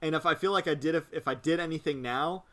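A young man hosts a casual sports-betting podcast with a fairly high voice for a man. He is loose and jokey and fills his sentences with "uh"s as he hands the turn to the next friend. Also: fast, a bit bright. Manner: loose and joking, many filler "uh"s. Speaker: podcast host running the picks.

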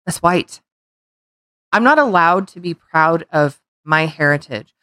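A woman's voice: lowish voice, lively intonation, measured pace, pushed and bright, dry recording. Heated, rambling woman podcast co-host. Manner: heated, rambling, swears, frequent restarts. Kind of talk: casual opinion chat.

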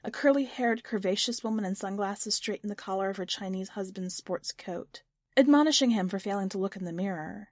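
A woman's voice: mid-range voice, brisk, no room sound, clean studio sound. A woman reading literary fiction aloud as a scripted audiobook narration. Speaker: podcast editor and story narrator.

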